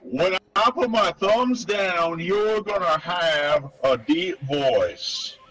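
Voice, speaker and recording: A man bantering casually during a game, halting and repeating his phrases as he goes. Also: fairly high pitch, lively intonation, a bit bright, rough recording. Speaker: video game player. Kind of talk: casual banter while playing social VR.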